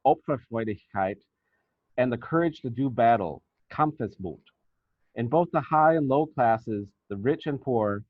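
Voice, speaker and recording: A man presenting academic research as a scripted lecture, reading formally and deliberately. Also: medium pitch, dry recording. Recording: thin, phone-like band-limited audio.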